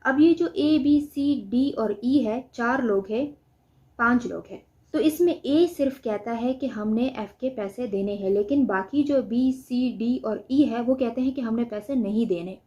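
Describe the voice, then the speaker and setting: A woman's voice: fairly high pitch, measured pace, mellow. A woman with a Pakistani accent giving an explanatory lecture, reading out notes and explaining them in a didactic way, often posing questions and answering them. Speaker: law lecturer. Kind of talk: explanatory lecture mixing read-out notes with examples.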